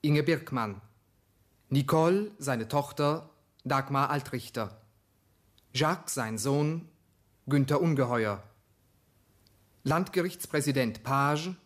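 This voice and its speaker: A male radio announcer reads scripted credits. He speaks slowly and formally in a medium-pitched voice.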